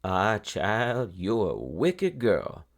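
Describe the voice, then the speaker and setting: A man voicing a patient, gently stern old country woman in a children's story, with a folksy manner. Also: fairly low pitch, huge pitch swings, drawn-out words, exaggerated voice. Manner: folksy, muttering hums.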